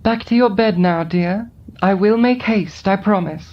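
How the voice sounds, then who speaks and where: A woman voicing a composed, scheming adult woman in an audio drama, calm and coolly persuasive. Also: mid-range voice, unhurried, close to an everyday voice. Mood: measured. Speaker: voice actor. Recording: dull lo-fi recording with faint music behind.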